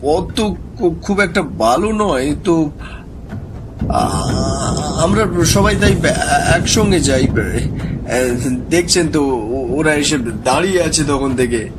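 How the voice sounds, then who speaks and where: Brief: male actor as harried cartoon village barber, high voice, slow delivery, fretful and halting, music behind. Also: expressive, noisy background. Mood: pleading, hesitant. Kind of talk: Bengali animated horror story dialogue.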